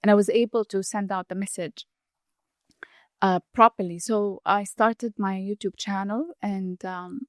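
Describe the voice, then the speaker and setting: A woman's voice: medium pitch, steady tone, measured pace, no room sound, studio quality. A woman from Saudi Arabia, a chef and food blogger, earnestly explaining at length in an interview and often restarting her sentences. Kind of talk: interview conversation.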